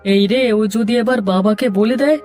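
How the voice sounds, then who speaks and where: A man's voice: sits high, fairly quick, a little mellow, close to an everyday voice. Man playing a curious village boy sidekick. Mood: curious, nervous. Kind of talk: cartoon dialogue acting. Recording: faint music behind.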